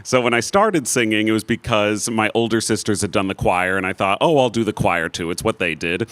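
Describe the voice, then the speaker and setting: A man's voice: on the low side, fairly quick, fairly bright tone, no room sound. A man who sings opera, telling his own story casually as an interview guest, good-humored and self-deprecating.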